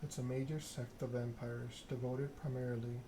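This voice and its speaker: A man softly narrating a story game in ASMR style, reading in a measured, deliberate way. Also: medium pitch, level tone. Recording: clearly noisy background ambience.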